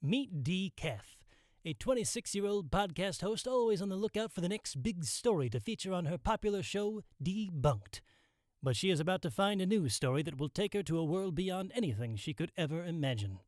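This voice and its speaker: A man plays an eerie anthology narrator in a radio play. His high voice swoops theatrically through grand, portentous lines, recorded close and dry. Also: expressive, moderate pace, darkish tone, no echo. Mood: ominous, grand. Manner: portentous, dramatic. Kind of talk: 1960s TV anthology-style scripted narration.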